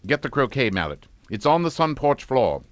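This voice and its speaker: A middle-aged father played by a man rules a suspense radio drama with cold authority. He is clipped and matter-of-fact even when threatening, with a mid-pitched voice that rises and falls widely, recorded very dry. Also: moderate pace, no echo, close to an everyday voice. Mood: commanding. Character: coldly commanding English father, calm, menacing, middle-aged patriarch.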